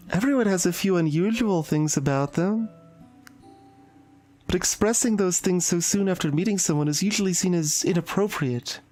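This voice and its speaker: Shy, intense high school girl for a visual novel, played by a woman: hesitant, confiding, unsettlingly obsessive, over music. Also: warm tone, natural voice. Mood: hesitant, apologetic. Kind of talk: dramatic visual novel voice acting. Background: background music.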